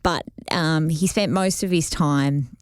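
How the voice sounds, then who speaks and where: A woman's voice: sits low, slow, clear, resonant tone, dry recording, studio quality. A woman co-hosting a podcast, telling a rambling family story in casual chat. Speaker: podcast co-host.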